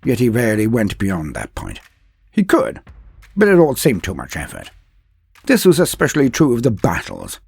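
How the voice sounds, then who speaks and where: Husky mock-epic male storyteller. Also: medium pitch, wildly swooping pitch, darkish tone, no echo. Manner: mock-epic, theatrical pauses before punchline words. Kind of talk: comedic fan-fiction narration.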